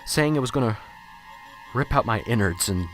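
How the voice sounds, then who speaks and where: A man's voice: mid-range voice, expressive, normal speed, a bit bright, lightly acted. A man playing a nervous, unsure professor in a radio drama, stammering and hesitant. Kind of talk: amateur radio drama acting. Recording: radio drama with music underneath.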